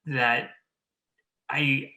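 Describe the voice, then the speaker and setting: A man's voice: medium pitch, little pitch movement, extremely slow, bright, forceful tone. A man speaking earnestly and emphatically in a conversational panel discussion. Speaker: show panelist. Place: remote video call.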